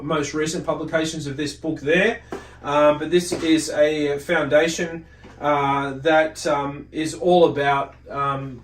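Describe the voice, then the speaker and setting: A man giving an informal religious lesson, speaking in a halting, deliberate way and often correcting himself as he explains. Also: fairly high pitch. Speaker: religious teacher. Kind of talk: informal religious lecture, reading and explaining from a book. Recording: roomy echo, distant microphone.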